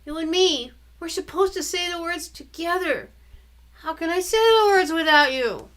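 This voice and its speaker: A woman's voice: very high-pitched, expressive, normal speed, a bit bright, voice pushed up. Woman doing a wailing scared little boy. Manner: whiny, wailing, stammering.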